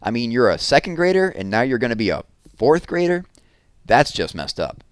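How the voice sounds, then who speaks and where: A man's voice: medium pitch, sing-song, moderate pace, dry recording, studio quality, pitched above the performer's own voice, lightly acted. A man voicing a young boy who mocks and taunts. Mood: mocking. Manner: mocking, taunting. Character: mean-spirited young boy, mocking.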